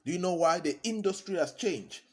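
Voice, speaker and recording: A man's voice: high voice, animated, brisk, darkish tone. A man, a consultant giving a motivational talk, hammering points home by repeating key phrases. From Cameroon.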